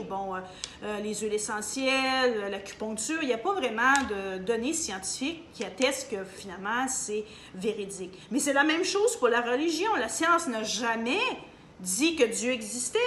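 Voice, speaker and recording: Woman, Canadian French accent, fairly high voice, emphatic opinion monologue with many hesitations, echoey room. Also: fairly bright tone. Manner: emphatic, hesitant with many fillers, addresses viewers directly. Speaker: video commentator. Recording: echoey room, faint background hum.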